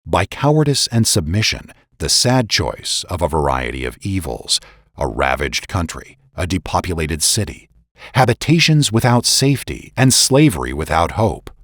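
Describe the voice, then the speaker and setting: Deep guy narrator reading old political writing, solemn and emphatic, big swings in intonation. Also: very animated, moderate pace, no room sound, studio quality. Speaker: podcast host and narrator. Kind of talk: dramatic reading of historical pamphlet.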